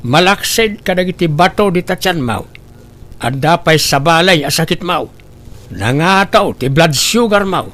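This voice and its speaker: A man's voice: a little high, animated, a little slow, fairly bright tone. A man playing a stern man who lectures a greedy woman about her wrongdoing. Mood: scolding. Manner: scolding, moralizing. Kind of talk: amateur comedy audio drama.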